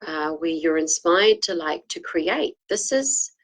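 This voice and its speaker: A woman with a slight cold, clearing her throat often, giving a rambling, casual spiritual talk full of fillers and restarts. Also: low-pitched, expressive, slow pace. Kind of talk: casual spoken talk, answering viewers' comments.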